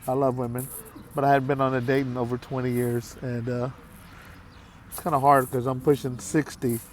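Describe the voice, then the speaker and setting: A man in his late fifties talking candidly to his viewers in a halting, heartfelt way, with many pauses and repeated phrases. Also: medium pitch, little pitch movement, slight background noise. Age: 50s. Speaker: vlogger. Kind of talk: candid talk to camera.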